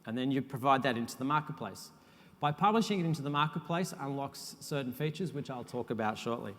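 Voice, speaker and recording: A man giving a technical conference talk as a software architect, relaxed and self-deprecating, with frequent uhs. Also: medium pitch, a little fast. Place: conference hall. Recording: long hall reverb.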